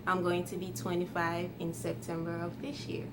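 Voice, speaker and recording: A woman's voice: lowish voice, monotone, normal speed, background noise. Ghanaian-born young woman chatting to camera. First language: Twi. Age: 20s. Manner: friendly, chatty, light laughs. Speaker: YouTuber. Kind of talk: casual talk to camera.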